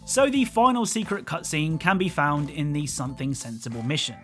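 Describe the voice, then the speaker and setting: A man's voice: on the high side, a little fast, over loud music. A man narrating a scripted video game explainer as a YouTuber, with dry humour.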